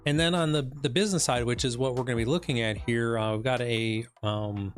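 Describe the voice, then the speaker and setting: A hoarse man whose voice is giving out talks through a business report on a live stream. He rambles with fillers and restarts, a business coach in casual mode. Faint music plays underneath. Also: mid-range voice, steady tone, a little fast. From West Michigan, United States. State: hoarse, losing his voice.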